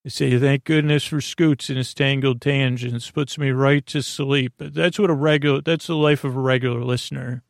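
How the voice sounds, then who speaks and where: A man hosting a bedtime podcast in a creaky voice, meandering and goofy, with hesitant restarts and tangled tangents. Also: mid-range voice, fairly even intonation, moderate pace, few pauses, dry recording, clean studio sound. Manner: goofy, silly. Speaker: podcast host. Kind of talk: rambling bedtime story podcast monologue.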